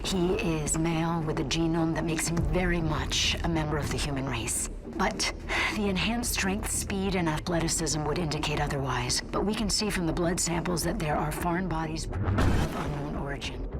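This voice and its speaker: Game scientist voiced by a woman: female, grave and deliberate, lowish voice, audio-log delivery under prominent music. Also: natural voice, rough recording. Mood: grave, secretive. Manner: solemn, deliberate, dramatic. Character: grave, intense female scientist recording research logs.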